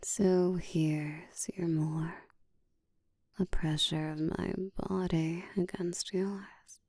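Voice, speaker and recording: Actress as commanding female vampire for roleplay audio: very slow, breathy whisper, dark low tone, teasing control. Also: steady tone, no echo, clean studio sound, exaggerated voice.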